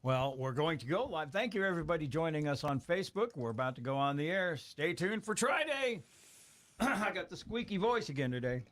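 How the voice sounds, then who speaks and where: Guy hosting morning radio, kinda high voice, chatty and goofy, dry mic. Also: expressive, brisk, a bit bright, dry recording. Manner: chatty, self-deprecating, talks while tasting. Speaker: radio morning show host. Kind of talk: casual radio banter. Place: radio studio. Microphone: studio microphone.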